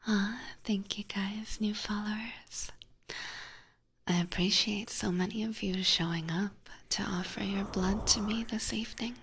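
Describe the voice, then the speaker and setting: Woman acting as vampire catgirl, breathy whisper, unhurried pace, seductive, teasing, playfully menacing, close-up binaural ASMR roleplay. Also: medium pitch, steady tone, a bit bright, full character voice. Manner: slow, coaxing, playfully menacing. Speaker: streamer playing a character for live chat. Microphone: close binaural ASMR microphone.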